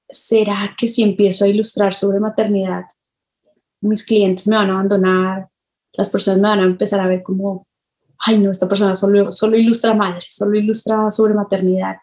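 A woman with a soft-edged, mellow voice at a medium pitch. She talks as a guest in a reflective interview, pausing often to find the right word. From Colombia. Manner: thoughtful, halting, searching for words. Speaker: illustrator, podcast guest.

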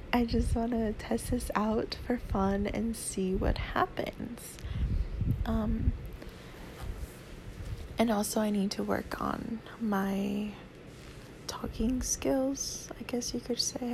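A young woman hosting her first solo podcast, talking casually in a soothing, soft-spoken, rambling way. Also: a little high, gravelly, a little hiss or hum, poor audio. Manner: soft-spoken, rambling, laughs between thoughts. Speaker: podcast host.

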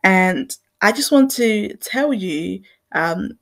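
A woman's voice: mid-range voice, expressive, slow. A young adult woman from Zimbabwe hosting her own podcast, talking in an earnest, reflective way. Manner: earnest and reflective, with small laughs. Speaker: podcast host. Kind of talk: personal commentary monologue.

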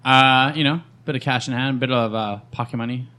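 Man in his 30s from Canada, easygoing podcast guest, laid-back chat full of fillers, mid-pitched voice. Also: steady tone, fairly quick, pushed and bright, clear, resonant tone. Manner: easygoing, laughs often, trailing fillers.

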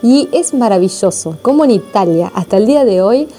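A woman's voice: medium pitch, lively intonation, brisk, dark tone, faint music behind. A woman from Argentina with an Argentine accent, a massage therapist telling reflective personal stories to her viewers. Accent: Argentine Spanish. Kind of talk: personal storytelling vlog.

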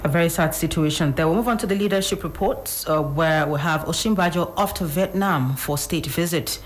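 A woman's voice: sits low, brisk. A woman with a Nigerian accent presenting news and reading out newspaper headlines.

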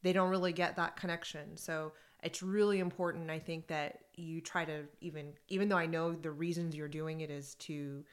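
A woman with a slightly low voice, co-hosting a podcast in casual conversation. She tells her stories in an animated way, breaking into laughter often. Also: normal speed, hardly any pauses. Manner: animated storytelling with frequent laughs. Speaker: podcast co-host. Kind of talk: casual conversation about workplace groups.

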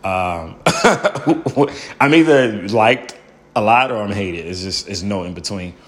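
A young man with a loud, somewhat low voice recounts his early days as a stand-up comedian. He tells it with animated energy and slips into the voices of the people he quotes. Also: takes it slowly. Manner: acts out quoted people in bursts. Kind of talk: animated storytelling interview.

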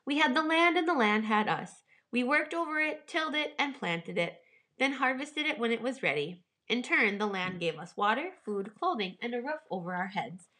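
A woman's voice: fairly high pitch, expressive, moderate pace, bright, forceful tone. A woman reading a children's storybook, voicing an affectionate, wistful old grandmother in a folksy manner. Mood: proud, reminiscing. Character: warm, wistful old grandmother on the family farm. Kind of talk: children's storybook read aloud.

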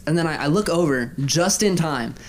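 A man's voice: fairly high pitch, normal speed, a little hiss or hum. Teasing young man from Colorado. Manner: animated, laughs often, teasing. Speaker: podcast co-host. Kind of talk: casual chat, storytelling.

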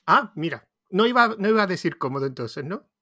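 A man's voice: high voice, big pitch swings, brisk, pushed and bright, dry recording, studio quality. A man with an Andalusian Spanish accent hosting a podcast interview, chatty and rambling, often restarting his sentences. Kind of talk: casual conversational interview.

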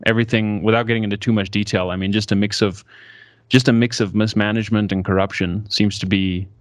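A man's voice: fairly low pitch, fairly even intonation, fast talker, dry recording, studio quality. A man in his twenties from South Africa, speaking thoughtfully as an interview guest and often correcting himself mid-sentence. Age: 20s. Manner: reflective, thoughtful, with frequent self-corrections. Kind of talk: casual conversational interview.

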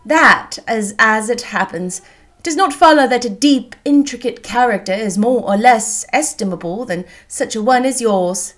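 A woman's voice: on the high side, unhurried, fairly bright tone, a little hiss or hum. A woman voicing a witty, self-assured young woman in a period novel, articulate and playful. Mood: teasing.

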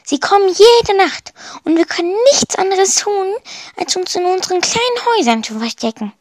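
A woman's voice: very high voice, lively intonation, moderate pace, no echo, voice pushed up. A woman voicing a young villager boy from a storybook, a mocked noob who earnestly and dramatically longs to be a warrior. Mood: wistful, yearning.

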